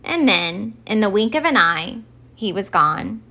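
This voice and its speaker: A woman reading a children's storybook aloud as an expressive narrator for story time. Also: medium pitch, a little slow.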